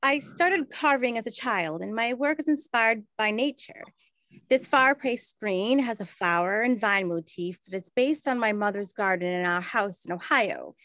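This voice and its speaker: An earnest, measured woman from a 1920s period play, read by an amateur actress. Her pitch is medium and the sound is very dry, with little room around it. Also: fairly bright tone, dry recording, lightly acted. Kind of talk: amateur scripted stage acting.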